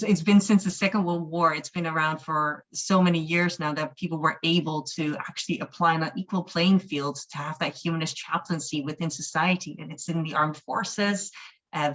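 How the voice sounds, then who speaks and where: A woman's voice: sits low, a bit bright. A Dutch-born humanist chaplain with a mixed, Irish-sounding accent, explaining her field earnestly in a webinar interview, with frequent ums and restarts. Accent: mixed Dutch, with an Irish-sounding lilt. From Netherlands. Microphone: video call. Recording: dull lo-fi video call audio.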